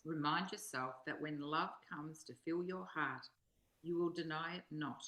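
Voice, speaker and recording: Low-voiced woman in a roomy, echoing space. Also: unhurried, fairly bright tone. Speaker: co-presenter reading and discussing a spiritual text. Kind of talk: slow read-aloud and reflective study conversation.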